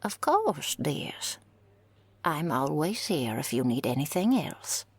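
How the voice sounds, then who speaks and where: A kindly, obliging woman in an audio roleplay, acted by a female performer. She speaks slowly in a low, whispery voice. The pitch swoops widely as she goes. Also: sing-song, husky, full character voice. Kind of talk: ASMR audio roleplay acting.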